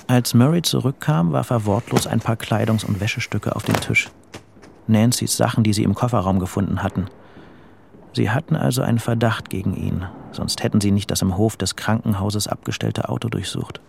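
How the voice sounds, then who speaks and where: A male narrator reads literary prose for an audio drama. His voice sits fairly low with a marked gravelly creak, over clear background ambience. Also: normal speed, slight background noise.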